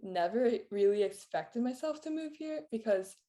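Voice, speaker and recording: A woman's voice: on the high side, lively intonation, moderate pace, warm tone. A teenage girl chatting casually as an interview guest, laughing often and filling her talk with "like". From Philippines. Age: teens. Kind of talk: casual chat.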